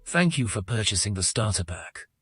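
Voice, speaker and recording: An actor narrating a fantasy audio game as a calm, measured male storyteller. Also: on the low side, over music. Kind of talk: scripted narration.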